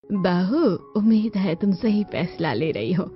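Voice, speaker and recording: A woman's voice: mid-range voice, expressive, unhurried, natural voice. A woman voicing a cautious elderly grandmother and mother-in-law in a cartoon story. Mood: cautioning. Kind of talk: Hindi cartoon story dialogue. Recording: dull lo-fi audio with music.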